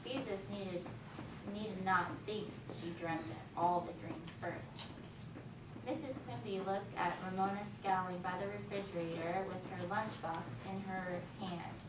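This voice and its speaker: A woman reading a story aloud to young children as their teacher, pausing to ask questions and chat with them. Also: mid-range voice. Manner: pauses to question listeners and echo their answers. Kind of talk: read-aloud storytelling with chatty asides to children. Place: echoey classroom, recorded from a distance. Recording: distant, echoey, noisy room recording.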